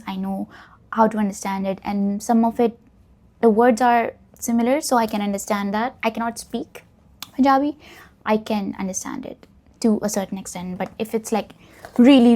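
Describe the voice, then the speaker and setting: A woman's voice: medium pitch, dark tone. A young woman whose first language is Urdu, chatting reflectively in a casual get-to-know-me vlog. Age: young adult. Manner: reflective, rambling, self-deprecating. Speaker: vlogger.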